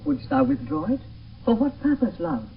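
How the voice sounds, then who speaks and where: A man's voice: very high voice, sing-song, moderate pace, vocal fry, heavily acted. A British actor playing an ardent, dreamy young nobleman in love, with lyrical verse delivery in classical radio drama. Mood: impassioned pleading. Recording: dull, hissy old radio broadcast, distant.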